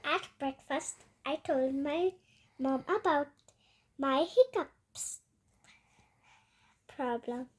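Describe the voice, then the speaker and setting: High-pitched young girl reading very slowly. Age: child. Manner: halting, word-by-word reading. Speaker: young storytime host reading aloud. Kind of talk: children's picture book read-aloud.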